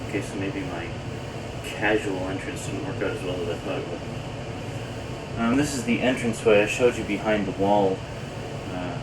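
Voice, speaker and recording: A man's voice: low voice, fairly even intonation, moderate pace. A man coming down with a cold, chatting casually and rambling to camera with lots of uh's, recorded on a camcorder mic in a room at home. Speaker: video letter host. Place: indoor home program room. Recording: noisy early-90s camcorder tape.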